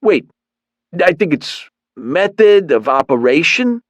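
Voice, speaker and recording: Brief: man doing a slow, well-meaning thug sidekick with a high voice, in an audiobook. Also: very animated, dry recording, full character voice. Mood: earnest. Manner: earnest, helpful, slightly slow. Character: eager, dim-witted male henchman.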